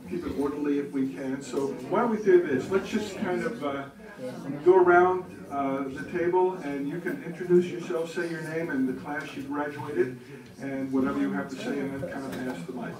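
A man's voice: fairly high pitch, steady tone, normal speed. An older man moderating a panel at a community event, speaking in a genial, chatty way into a handheld mic. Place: community meeting room, audience seated. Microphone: handheld mic, heard from afar. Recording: roomy, distant mic with crowd.

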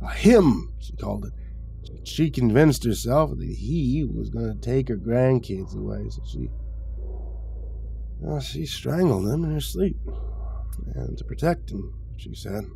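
A man's voice: mid-range voice, creaky, a little mellow, a little hiss or hum, voice pushed up. A man playing a chatty, chuckling retired man who rambles in a folksy way in a horror story. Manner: rambling, conversational, snorting amusement.

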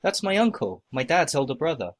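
A man acting out short scripted everyday dialogues for a listening test, with plain, expressive conversational reading. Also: a little high, fairly quick.

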